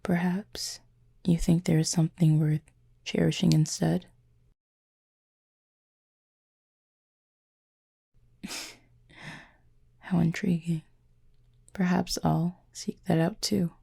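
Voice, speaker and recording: Woman doing a soft formal cupid for audio rp, real gravelly n husky, kinda wistful, measured. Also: on the low side, little pitch movement, full character voice. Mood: wistful, warm. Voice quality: husky, breathy, creaky. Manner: measured, formal, soft-spoken with breathy pauses. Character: gentle, formal, otherworldly wounded cupid, composed and wistful. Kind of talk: intimate audio roleplay monologue.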